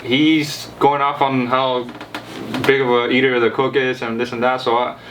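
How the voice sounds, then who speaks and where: A man's voice: mid-range voice, flat delivery, moderate pace, a bit bright. A young man from Cuba tells a story in loose, repetitive chat, slipping into the voices of people he quotes. The mic sits a little way off, and a faint hiss runs underneath. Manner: rambling, repeats words, acts out quotes. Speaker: car vlog host. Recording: distant mic with faint noise.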